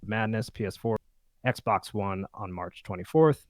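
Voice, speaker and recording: A man's voice: lowish voice, unhurried, dry recording. A man hosting a casual podcast, chatting with the group and reading through a list. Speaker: podcast host.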